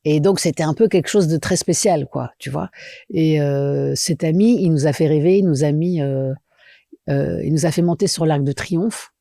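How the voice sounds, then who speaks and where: A middle-aged woman from Belgium, an actress and director, chatting lively in an interview with many fillers and restarts. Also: deep voice, moderate pace, creaky, dry recording, clean studio sound. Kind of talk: casual interview chat.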